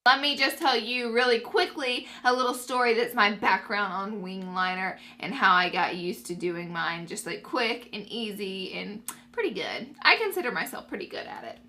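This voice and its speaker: Young woman beauty vlogger, congested and nasal, upbeat chatty tutorial delivery, crisp bright tone. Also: mid-range voice, animated, moderate pace, few pauses. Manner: upbeat, addresses viewers as guys. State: stuffy nose from allergies.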